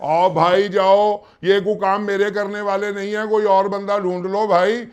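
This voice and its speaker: A man's voice: sits high, flat delivery, unhurried, clear, resonant tone. A man with a Pakistani accent arguing on a phone call, protesting and complaining in an incredulous, exclamatory way. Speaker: prank call recipient. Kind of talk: animated phone argument in conversational Urdu.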